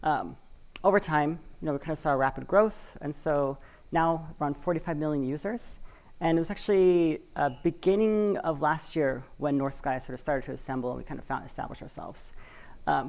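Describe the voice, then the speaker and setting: A woman's voice: deep voice, normal speed, a little mellow. A woman from Washington State giving a live conference talk, speaking conversationally with many fillers and hedging words. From Washington State, USA. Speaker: conference speaker for a community cooperative. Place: conference stage, in front of an audience.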